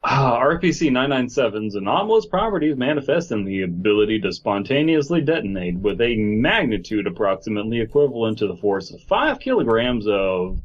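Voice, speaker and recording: A man's voice: mid-range voice, big pitch swings, fairly quick, few pauses, dull audio. A man co-hosting a podcast, reading aloud and riffing in casual, sweary banter with his friends. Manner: joking, sweary. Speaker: podcast co-host. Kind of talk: casual comedic read-and-react chat.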